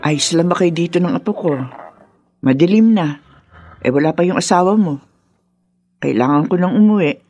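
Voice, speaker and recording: A woman playing a caring, patient older mother and grandmother with a husky voice in a Filipino radio drama. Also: fairly low pitch, normal speed, long pauses, darkish tone, lightly acted. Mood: concerned. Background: background music.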